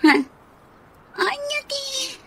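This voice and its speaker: A woman's voice: very high-pitched, lively intonation, drawn-out words, bright, forceful tone, slight background noise, heavily acted. A woman playing a startled, defensive mistress in a radio drama, exclaiming and questioning.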